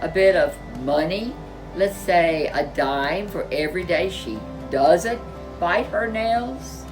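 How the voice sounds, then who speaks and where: A woman's voice: fairly low pitch, lively intonation, takes it slowly, distant mic, low-quality recording. Lady doing a blustery papa bear, emphatic, swoopy delivery, storybook reading with music under it. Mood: sheepish, pondering. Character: blustering papa bear, loud and easily abashed.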